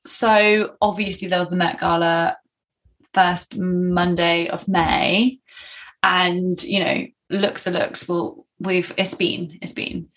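A young woman co-hosting a podcast in casual chat, with a husky, tired voice, laughing often. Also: lowish voice, level tone, slow, fairly bright tone. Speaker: podcast co-host.

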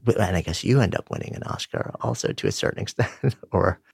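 Deep gravelly male interviewer. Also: huge pitch swings, quick pace, no echo, studio quality. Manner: thoughtful, probing questions. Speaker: interview host. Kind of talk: interview conversation.

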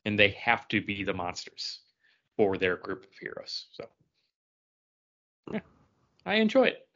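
A man's voice: on the low side, huge pitch swings, measured pace, bright, forceful tone, lo-fi sound. A man co-hosting a podcast in casual chat, rambling and often repeating himself as he goes. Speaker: podcast co-host. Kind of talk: casual comic book chat.

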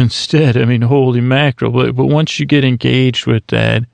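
A man's voice: mid-range voice, creaky, dry recording. A man hosting a podcast, doing a rambling comedic monologue full of restarts and stammers. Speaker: podcast host.

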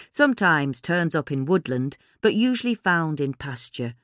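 A woman reading a magazine article aloud in a steady, clear, instructive way. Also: sits low, dry recording, studio quality. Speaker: reader.

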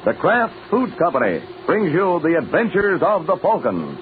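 Animated old-time radio announcer, tinny and noisy. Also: male voice, fairly high pitch, moderate pace. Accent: American. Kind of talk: 1950s radio announcing and ad reading.

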